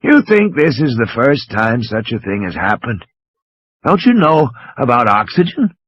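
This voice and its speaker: A man's voice: mid-range voice, big pitch swings, unhurried, no echo, dull audio, voice pushed down. A man playing an exasperated, scornful intellectual who lectures with emphatic passion in a dramatised audiobook. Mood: impassioned, exasperated. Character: impassioned, scornful male intellectual lecturing from his sickbed. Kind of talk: dramatised audiobook character reading.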